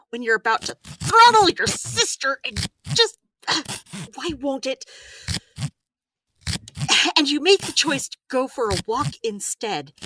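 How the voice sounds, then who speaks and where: A practical woman healer in an audio drama, her voice bright and very expressive. She explains things at an unhurried pace, brisk in temper and given to wry, exasperated asides. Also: on the high side, full character voice, poor audio. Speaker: healer giving therapy advice. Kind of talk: audio drama dialogue, conversational.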